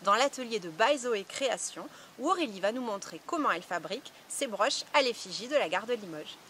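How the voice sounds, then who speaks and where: A woman's voice: fairly high pitch, a little fast, slight background noise. A woman presenting a regional feature, reading an upbeat scripted introduction. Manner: upbeat and lively. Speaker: show presenter.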